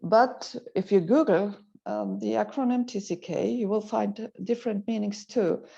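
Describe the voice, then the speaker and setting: A woman interviewer asking measured questions, hesitating with fillers. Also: mid-range voice, creaky, dark tone, breathy edge. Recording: dull lo-fi sound.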